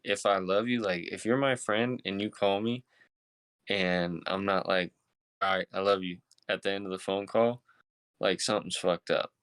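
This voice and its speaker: Young man, smooth low voice, sincere. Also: fairly even intonation, moderate pace, a bit bright, no echo. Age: 20s. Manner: sincere, informal, slangy. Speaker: podcast co-host. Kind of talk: casual chat.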